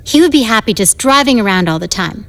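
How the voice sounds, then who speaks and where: A woman's voice: medium pitch, animated, quick pace, in a large hall, natural voice. A young woman acting in an audio drama as a moody, insecure teenage girl who bosses a 1950s gang, wistful and defensive.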